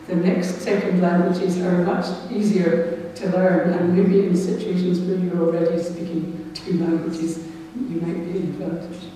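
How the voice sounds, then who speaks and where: A woman's voice: fairly low pitch, warm tone, distant mic, a little hiss or hum. A woman, a former teacher, telling a rambling anecdote with many ums during a seminar discussion. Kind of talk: discussion comment. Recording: echoey hall, recorded from afar.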